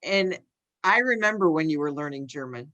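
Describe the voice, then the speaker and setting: A woman hosts a casual podcast conversation with a bright, clear voice. She draws her guest out with gentle, encouraging questions. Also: deep voice, expressive, normal speed, dull audio. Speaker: podcast host. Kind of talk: casual interview chat.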